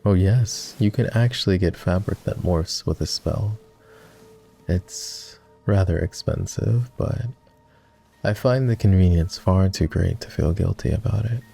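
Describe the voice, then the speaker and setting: A man playing a flirty, courtly vampire aristocrat in an intimate roleplay ASMR, with refined, formal, teasing speech in a low, gravelly murmur. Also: measured pace, warm tone, faint music behind. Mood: amused, casual. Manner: refined, formal diction with playful teasing and sighs.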